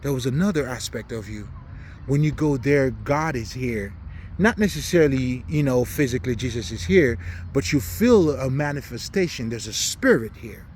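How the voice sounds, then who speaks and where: A man's voice: medium pitch, animated, normal speed, a little mellow, a little hiss or hum. A man from Haiti, not a native English speaker, giving an unscripted, preaching monologue in blunt, profane slang with emphatic repetition. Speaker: spiritual commentator.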